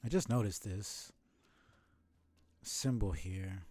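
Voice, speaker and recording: A man's voice: deep voice, slow pace, darkish tone. A man streaming a game live, thinking aloud casually, often correcting himself and swearing mildly. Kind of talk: casual live gameplay commentary.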